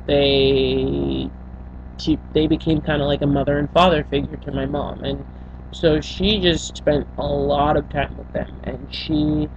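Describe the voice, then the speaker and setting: A young woman from Senegal speaks into a built-in webcam mic, picking her words haltingly with lots of restarts. The audio is dull and lo-fi, with a faint hum underneath. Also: low voice, a little mellow. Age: young adult. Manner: halting, with many fillers and restarts. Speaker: vlogger. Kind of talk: personal storytelling to camera. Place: small bedroom indoors.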